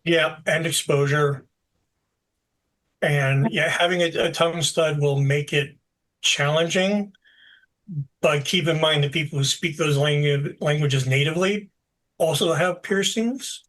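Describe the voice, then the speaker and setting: A man running a tabletop game as game master, narrating scenes in a relaxed, explanatory way with frequent fillers. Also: a little high, a little slow, low-quality recording.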